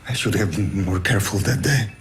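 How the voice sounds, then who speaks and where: A man's voice: fairly low pitch, a little fast, vocal fry, a little hiss or hum. An actor playing a frightened, injured male patient who speaks hesitantly. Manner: hesitant, faltering.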